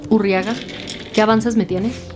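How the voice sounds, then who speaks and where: Senior female detective acted by a woman, podcast drama; crisp authority, probing questions, medium register. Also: mid-range voice, steady tone, a little slow, music in the background, natural voice. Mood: authoritative. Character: commanding, probing woman lead detective and boss. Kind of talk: scripted audio drama dialogue.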